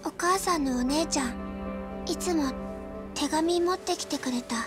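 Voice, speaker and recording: A woman voicing an earnest, polite little girl, lonely but determined, in a Japanese video game drama, with a soft childlike manner. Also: high-pitched, a little slow, over music. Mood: subdued.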